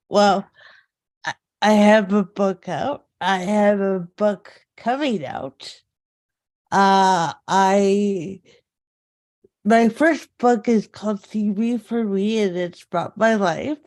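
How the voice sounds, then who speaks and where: A woman writer giving a casual self-introduction, halting and repeating her words as she goes. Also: medium pitch, a little mellow. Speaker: writer, table read participant.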